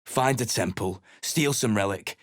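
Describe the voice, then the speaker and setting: An actor playing a bitter, gruff male human adventurer who has lost his crew, speaking with a surly, coarse, cursing manner. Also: on the low side, measured pace, no room sound, close to an everyday voice. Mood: grim, surly. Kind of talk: video game voice acting. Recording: dry, close game studio recording.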